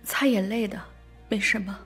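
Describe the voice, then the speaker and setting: A woman playing a tearful, evasive young wife in an audio story, speaking softly and wearily in brief, subdued replies. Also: mid-range voice, fairly even intonation, normal speed, slightly rough, faint music behind, pitched above the performer's own voice. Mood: quiet, weary. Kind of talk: audio horror story dramatization.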